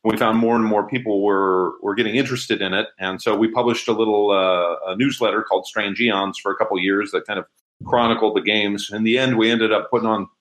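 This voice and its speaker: A man's voice: on the low side, steady tone, fairly quick, fairly bright tone, rough recording. A middle-aged man speaking as an interview guest, telling a story casually.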